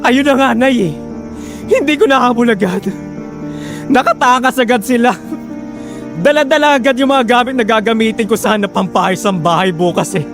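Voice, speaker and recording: A man's voice: very high-pitched, normal speed, pauses often, music in the background. A man playing an earnest, persevering husband who pleads for his family in a Filipino audio drama. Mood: dismayed.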